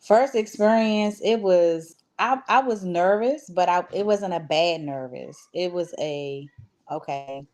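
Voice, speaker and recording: A woman's voice: sits low, darkish tone. A woman giving casual, conversational interview answers as a stage actress, telling stories by acting out what people said.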